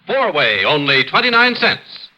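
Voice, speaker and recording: Very bright, forceful American ad man. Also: a little high, big pitch swings, unhurried, rough recording. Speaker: commercial announcer. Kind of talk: 1950s radio ad pitch.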